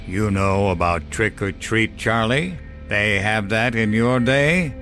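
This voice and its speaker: A menacing teenage thug leading his gang , acted out by a male narrator in a horror tale. His voice sits fairly low and he gives taunting, commanding threats, with clear music underneath. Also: slow, fairly bright tone. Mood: sadistic taunting. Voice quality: deeper than his accomplices.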